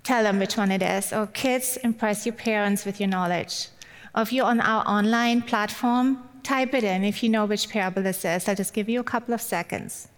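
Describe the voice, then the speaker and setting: A woman's voice: mid-range voice, normal speed. A woman in her forties from Germany with a German accent, giving a chatty, encouraging Mother's Day sermon as a guest speaker. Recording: long hall reverb.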